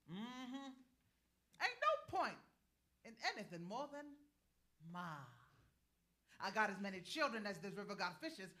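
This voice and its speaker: An actress as an earthy, ageless river woman, sly and folksy, fishing for her supper. Her voice is very bright and forceful and swings widely in pitch as she teases and dispenses wisdom in a theatrical stage reading. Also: heavily acted. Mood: amused, teasing. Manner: folksy, teasing, chuckling drawl of a wise elder.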